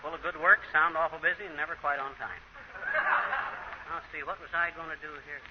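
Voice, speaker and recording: An actor playing a cocky, folksy small-town husband, joking in breezy slang in 1930s radio comedy style. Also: male voice, fairly high pitch, fairly even intonation, fairly quick, lightly acted. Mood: teasing. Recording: muffled, noisy 1930s radio broadcast.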